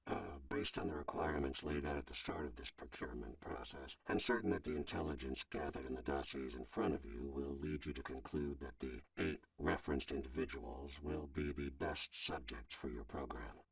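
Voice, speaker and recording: An actor playing a formal, deferential intelligence operative in a video game, with a gravelly, husky voice and stiff delivery. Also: male voice, extremely low pitch, normal speed, far from the mic, heavily acted.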